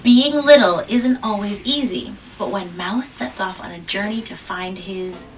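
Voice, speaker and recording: Mom reading a kids' book aloud at home, slow and gentle, stopping for questions; mic sits far off with faint background noise. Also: female voice. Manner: gentle, questioning the child, jumps to high squeaky pitch on small words. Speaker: mother reading aloud to her child. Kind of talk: children's picture-book read-aloud.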